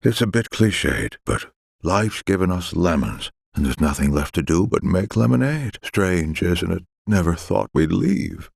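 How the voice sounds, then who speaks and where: A man's voice: low-pitched, big pitch swings, a little slow, creaky, husky, no echo, studio quality, full character voice. An actor playing a seasoned, reflective male sailor in a video game. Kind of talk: video game character dialogue.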